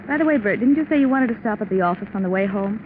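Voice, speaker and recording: Coy, coaxing cheating wife played by an actress, old radio drama, tinny noisy recording. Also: female voice, mid-range voice, natural voice. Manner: coaxing, sweetly wheedling. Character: coy, manipulative married woman having an affair. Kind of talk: 1940s radio drama acting.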